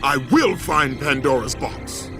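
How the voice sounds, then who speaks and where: An actor playing a wrathful, brutal ancient Greek warrior in a video game, commanding and vengeful in a theatrical delivery. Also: male voice, mid-range voice, expressive, a little slow, music in the background. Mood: menacing, vengeful. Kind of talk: dramatic video game acting.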